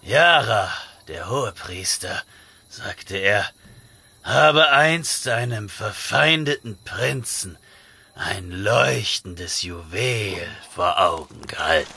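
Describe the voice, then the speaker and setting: A taciturn barbarian thief in a fantasy audio drama. He is gruff and guarded, speaking very slowly in a hard, forceful, gravelly voice. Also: lowish voice, expressive, full character voice. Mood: grave, recounting. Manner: terse, grunting, guarded.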